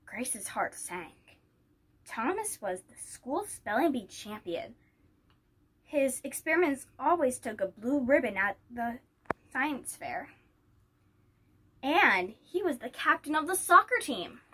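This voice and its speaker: A woman's voice: high voice, sing-song, takes it slowly, pauses often, bright, forceful tone, husky. A woman reading a children's picture book aloud as a storyteller. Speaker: story reader.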